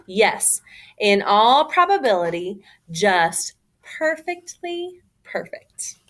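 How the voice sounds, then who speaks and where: A woman reading a children's picture book aloud as a storyteller, with an expressive, emphatic, theatrical delivery. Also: medium pitch, takes it slowly, a little hiss or hum.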